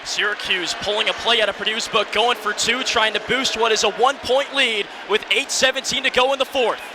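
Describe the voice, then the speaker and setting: A man's voice: high-pitched, steady tone, a little fast. A man in his early twenties calling college football live on radio in short, vivid, present-tense phrases. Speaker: play-by-play announcer. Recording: stadium crowd and loud background noise.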